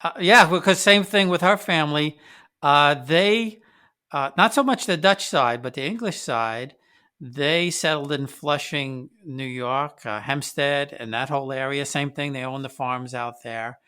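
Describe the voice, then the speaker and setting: A man's voice: fairly high pitch, a little slow, sharp tone, clean tone. A man hosting an interview, asking questions in a rambling, conversational way with many restarts. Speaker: podcast host.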